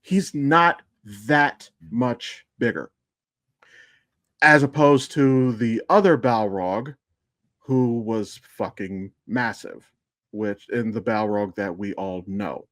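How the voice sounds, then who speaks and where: A man's voice: on the low side, takes it slowly, fairly bright tone, no echo, studio quality. A man co-hosting a podcast in casual fan discussion, speaking informally with some swearing. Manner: informal, profane. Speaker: podcast co-host.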